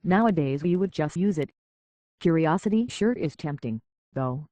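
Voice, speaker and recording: Man playing a higher-voiced, cautious game character. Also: lively intonation, normal speed, dark tone, no room sound, close to an everyday voice. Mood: musing. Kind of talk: video game voice acting.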